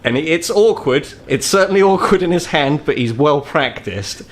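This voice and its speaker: A man's voice: on the high side, animated, normal speed, slight background noise. A man with a British accent, narrating a tabletop roleplaying game as game master.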